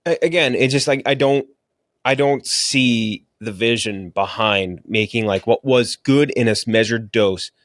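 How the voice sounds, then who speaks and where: A man's voice: mid-range voice, a little slow, a bit bright, no echo, studio quality. A man co-hosting a movie podcast, chatting casually and bluntly about film and TV with slang and swearing. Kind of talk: casual opinionated film and TV chat.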